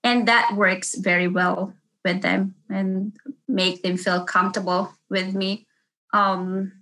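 A woman's voice: medium pitch, pushed and bright, rough recording. A middle-aged woman with a Filipino accent, a nurse telling casual stories in an interview, chatty and laughing often. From Philippines. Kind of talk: casual storytelling.

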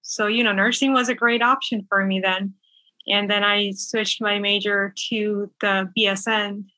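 A woman's voice: medium pitch, level tone, a little slow, sharp tone, no echo, poor audio. A young woman from Bangladesh, a nurse, chatting casually in an interview over a video call, with frequent fillers and light laughter. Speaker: nurse guest.

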